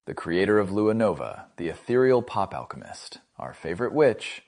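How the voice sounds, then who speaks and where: Low, lively-toned male podcast host. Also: moderate pace, creaky. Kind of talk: scripted show introduction and interview.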